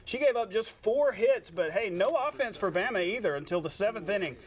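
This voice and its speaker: A man anchoring a sportscast, reading scripted highlights and trading short banter with a co-anchor. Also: animated, fairly quick.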